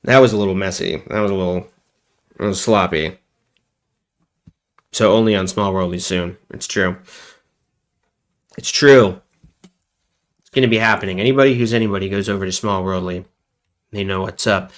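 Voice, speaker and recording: A man with a fairly low voice hosts a casual livestream while sick with a cold. He rambles loosely, cracks jokes and pokes fun at himself. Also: fairly even intonation, normal speed, long pauses. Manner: rambling, joking, self-deprecating. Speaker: livestream host.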